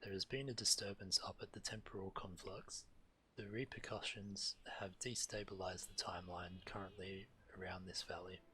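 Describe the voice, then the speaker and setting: Low-voiced man reading game quests aloud. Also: fairly even intonation, moderate pace, pushed and bright, a little hiss or hum, poor audio. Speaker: game streamer. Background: game music.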